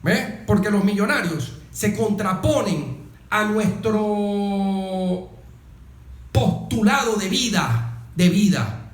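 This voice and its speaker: A Venezuelan man speaks in a clearly high-pitched voice, recorded in an echoey room. He gives political commentary like a teacher, repeating key phrases for emphasis and checking in with short questions. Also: expressive, takes it slowly, long pauses. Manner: didactic, emphatic, repetitive, with rhetorical questions and check-in tags. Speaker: interviewed guest.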